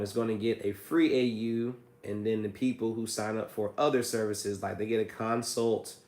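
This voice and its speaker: A man with a hoarse, raspy voice from a cold, hosting a casual livestream and answering viewer questions about credit repair. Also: on the low side, echoey room. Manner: reads viewer comments aloud, chatty and loose.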